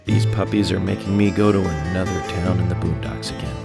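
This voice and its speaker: A man's voice: deep voice, fairly quick, vocal fry. An actor playing a weary, grumbling man in a mystery video game cutscene. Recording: game audio under loud music.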